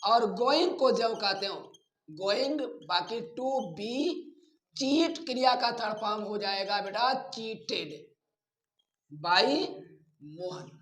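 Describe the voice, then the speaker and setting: A man teaching a grammar lesson, deliberately repeating phrases and addressing his students directly in an echoey, roomy recording. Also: sits high, a bit bright. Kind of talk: classroom-style grammar lesson.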